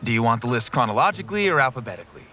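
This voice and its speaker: A man's voice: medium pitch, sing-song, very fast, sharp tone, faint music behind. Man voicing a blunt, distrustful teen boy. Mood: sardonic, distrustful. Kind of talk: comedic animated parody voice acting.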